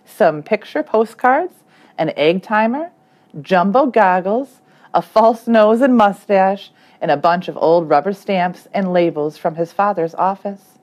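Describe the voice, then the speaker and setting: A woman teacher reading a children's story aloud expressively, with dramatic emphasis and deliberate phrasing. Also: medium pitch, lively intonation, a little mellow. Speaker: third grade teacher.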